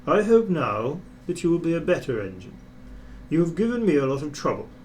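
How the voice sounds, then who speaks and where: A man's voice: fairly high pitch, very animated, darkish tone, slight background noise, pitched above the performer's own voice. A man voicing a railway controller in a children's storybook, authoritative, stern yet kindly. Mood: reproving. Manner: measured authority, reproving.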